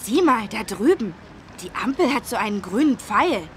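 High-pitched eager young schoolboy. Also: female voice, sing-song, normal speed. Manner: eager, explaining. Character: bright young boy, eager, knowing, friendly schoolchild. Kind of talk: children's cartoon game acting. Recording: faint street noise behind the voice.

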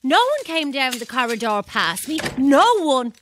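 A woman's voice: high-pitched, very animated, measured pace, fairly bright tone, slight background noise, heavily acted. A woman with a Dublin Irish accent playing an anxious, superstitious theatre stage-door keeper in an audio drama, flustered and colloquial. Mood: shocked, defensive.

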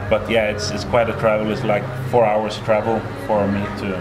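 A man's voice: on the low side, monotone, noisy background. A middle-aged Norwegian man answering interview questions about his band, hesitant and full of restarts, at a table mic in a small festival room. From Norway. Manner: halting, repeats words, many fillers. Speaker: band member. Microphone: table mic in front.

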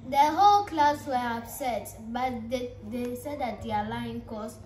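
A woman's voice: a little high, measured pace, darkish tone, echoey room, slight background noise. A woman in eager family chatter, talking over others.

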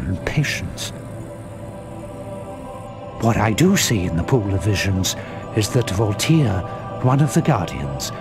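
A man playing a wise, solemn old dragon mentor in a video game, gravelly, with a slow, measured and grave delivery. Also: fairly low pitch. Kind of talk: dramatic video game cutscene acting. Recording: music behind the voice.

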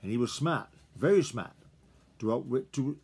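A man voicing a shrewd old female fox who lectures calmly, in a husky voice. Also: medium pitch, moderate pace. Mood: lecturing. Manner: lecturing, matter-of-fact.

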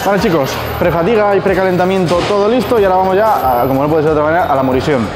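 A young man, giving energetic, joking gym vlog commentary while a friend films him in a large indoor gym. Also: high voice, rapid-fire, poor audio. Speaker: fitness vlogger and coach. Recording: loud music over the voice. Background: loud gym music.

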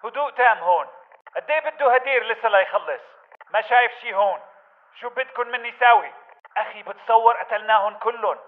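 A man's voice: very high-pitched, fast, narrow, tinny audio. A man voicing an aggressive militant fighter in a video game, with a Levantine Arabic accent and urgent, clipped delivery. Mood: calm report. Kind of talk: video game battle chatter.

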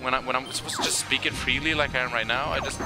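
A man's voice: mid-range voice, fairly even intonation, quick pace, over loud music. A young man whose first language is Swedish, chatting casually over a video game in English, rambling with frequent restarts. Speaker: co-commentator. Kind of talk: casual gaming chat.

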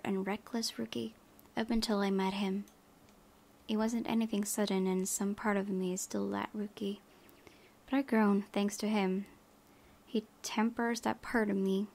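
A woman's voice: medium pitch, gravelly, a little mellow. A woman hosting a gaming video, reading visual novel text aloud with casual asides.

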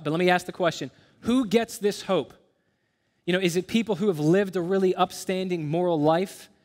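A man in his thirties giving a conversational teaching sermon as a pastor, earnest and explanatory. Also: a little high. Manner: earnest, explanatory, with rhetorical questions. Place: large church auditorium stage, indoors. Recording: reverberant hall sound.

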